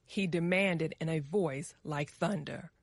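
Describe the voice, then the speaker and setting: A woman reads a story for language learners at a very slow, measured pace. Her voice is on the low side, each word carefully articulated, in a dry, close recording. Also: dry recording. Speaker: narrator. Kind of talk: scripted story narration for English learners.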